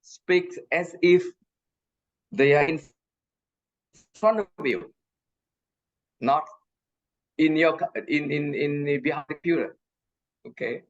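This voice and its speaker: A man who is not a native English speaker, giving a lecture and answering questions on a video call, halting with many fillers and restarts. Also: a little high, muffled recording. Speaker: guest lecturer. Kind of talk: online lecture Q&A.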